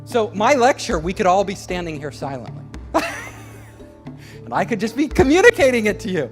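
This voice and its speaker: A man's voice: high-pitched, big pitch swings, normal speed, soft background music. A man giving a lecture in a chatty way, chuckling between points. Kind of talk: public lecture.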